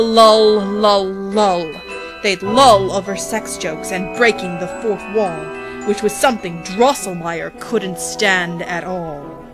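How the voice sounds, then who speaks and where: A woman reads a rhyming parody storybook as its narrator, slowly and theatrically. She is playful and dramatic, leaning on key words, with music underneath. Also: medium pitch. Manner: dramatic, playful, drawn-out emphasis on repeated words.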